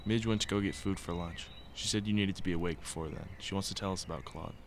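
An actor playing a teasing, protective young brother in an audio drama. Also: male voice, deep voice, fast, a little hiss or hum. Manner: easygoing, teasing.

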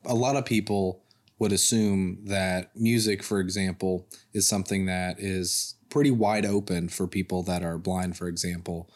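A podcast host asking measured follow-up questions of the guests. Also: low voice, fairly even intonation, a little mellow. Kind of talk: podcast interview.

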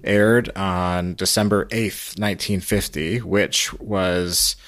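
A man with a low voice hosts a casual recap podcast. He speaks in a halting, conversational way, and the sound is dry and close. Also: fairly even intonation, a bit bright, no room sound. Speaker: podcast host.

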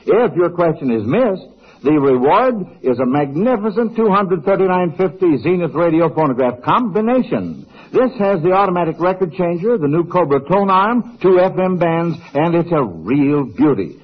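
A man's voice: fairly high pitch, very animated, moderate pace, husky. A man hosting an old-time radio quiz show in a jovial, folksy, chuckling manner. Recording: dull vintage radio broadcast.

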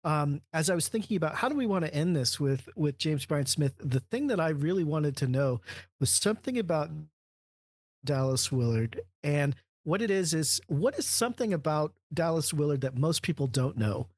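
A man's voice: a little high, expressive, normal speed, darkish tone, slightly rough, no room sound. A man hosting a conversational interview, appreciative and a little playful in how he asks questions. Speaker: interview host.

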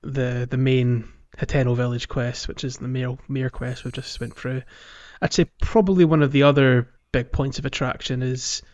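A man's voice: medium pitch, fairly even intonation, moderate pace, few pauses, darkish tone. A man hosting a casual gaming podcast, explaining things in a hesitant, trailing way. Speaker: podcast host.

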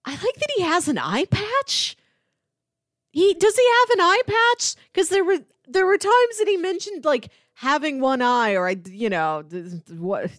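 A woman co-hosting a podcast in casual review chat, inquisitive and thinking out loud. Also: very high voice, big pitch swings, a little slow, dry recording, studio quality. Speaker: podcast co-host.

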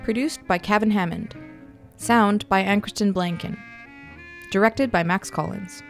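A woman's voice: medium pitch, measured pace. A woman announcing the cast and credits of a radio drama in a scripted style. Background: faint guitar music.

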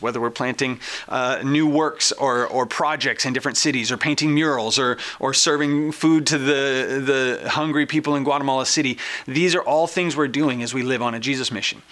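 A man's voice: mid-range voice, bright, forceful tone. A man with an American accent presenting and interviewing as a show host in an informal, enthusiastic, conversational way.

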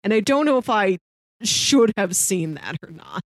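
A woman's voice: medium pitch, very animated, normal speed, no room sound. A woman co-hosting a podcast, talking casually as she reviews a television series. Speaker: podcast host.

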